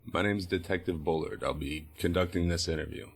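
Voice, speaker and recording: A formal police detective with a very low voice. He speaks in a measured, procedural way, as in an audio drama interrogation. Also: steady tone, slightly rough. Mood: formal, controlled.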